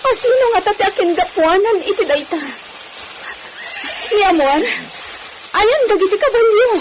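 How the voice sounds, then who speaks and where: An actress with an Ilocano accent playing an anxious, devoted wife in a radio comedy-drama, with a pleading, exclaiming manner. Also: female voice, extremely high pitch, animated, normal speed, background noise. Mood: alarmed, worried.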